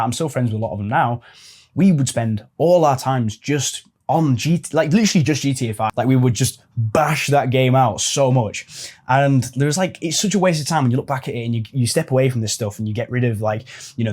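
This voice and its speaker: Twenties male host, chatty and informal, plain mid-pitched voice. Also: darkish tone. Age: 20s. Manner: chatty, informal with swearing and "like" fillers. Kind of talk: casual advice talk to camera.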